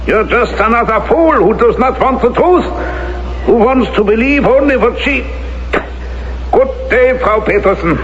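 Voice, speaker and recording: Contemptuous old German officer, slow and swooping. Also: male voice, very high-pitched, expressive, fairly bright tone, heavily acted. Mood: mocking, contemptuous. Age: older. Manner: halting, formal, condescending. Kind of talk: radio drama acting. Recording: noisy, dull old radio recording.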